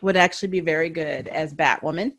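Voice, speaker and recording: A woman's voice: low-pitched, fairly quick, fairly bright tone. A woman hosting a casual, enthusiastic chat about comics and anime, often breaking into laughter. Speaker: podcast host and interviewer.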